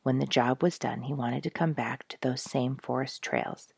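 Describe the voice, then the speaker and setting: A woman reading a story aloud in an expressive, earnest and deliberate audiobook narration. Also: rumbling low, vocal fry, husky, dry recording, clean studio sound.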